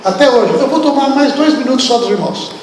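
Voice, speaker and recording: A man preaching a sermon with a Brazilian accent, lively and talking straight to the congregation, asking them questions. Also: extremely high pitch, fast, background noise. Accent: Brazilian Portuguese. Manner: animated, acts out biblical speech, asks the congregation questions. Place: church hall. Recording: echoey hall, distant room.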